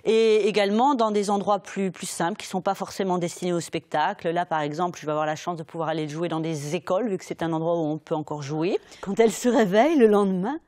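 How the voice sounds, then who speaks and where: A woman's voice: fairly low pitch, steady tone, quick pace, few pauses, darkish tone. A woman storyteller talking in an explanatory interview way, thoughtfully rephrasing as she goes. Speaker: storyteller with a theatre company.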